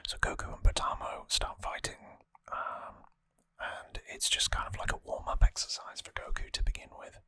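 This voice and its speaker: An ASMR host speaking entirely in a breathy whisper close to the microphone, softly and informally explaining a story recap. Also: normal speed, dry recording. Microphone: close microphone, dry sound.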